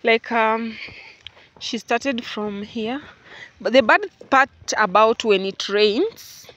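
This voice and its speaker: A woman's voice: medium pitch, a bit bright. A Kenyan woman vlogging casually from her rural farm, chatting to viewers as family and guys, recorded on a phone outdoors. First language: Luo. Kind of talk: casual vlog chat to viewers.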